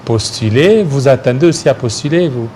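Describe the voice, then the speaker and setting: A man's voice: mid-range voice, animated, normal speed, darkish tone, a little hiss or hum. A man with a Congolese French accent hosting a political interview, putting probing, challenging questions. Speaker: interviewer, host.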